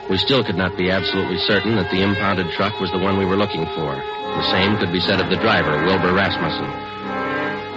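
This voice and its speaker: A male actor as a deep-voiced police sergeant in an old radio procedural, clipped and deadpan. He reports facts in a terse, businesslike way, on a muffled vintage broadcast with loud music. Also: close to an everyday voice. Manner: clipped, deadpan, matter-of-fact.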